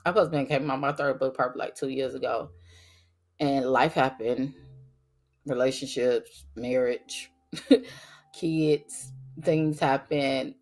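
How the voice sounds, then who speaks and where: A middle-aged woman with a voice gone raspy from a cold, hosting a faith-based podcast in an earnest, emphatic way and talking straight to her listeners. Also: extremely low pitch, fairly even intonation, unhurried, pauses often, a little mellow, soft background music. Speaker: podcast host.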